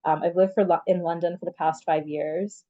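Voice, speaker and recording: A woman's voice: on the low side, steady tone, a little fast, warm tone, lo-fi sound. A young woman from Canada, the guest in a casual interview, talking in a chatty way with many "like" fillers. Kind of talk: casual conversation.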